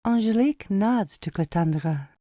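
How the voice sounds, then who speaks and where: A woman's voice: medium pitch, expressive, a little slow, warm tone, no echo, studio quality. A woman narrator reading out stage directions as scripted narration for an audio play.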